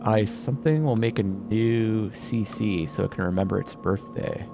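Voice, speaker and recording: A man doing casual let's play commentary, thinking out loud and reading game text aloud. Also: lowish voice, normal speed, creaky. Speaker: video game player and host. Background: atmospheric game music.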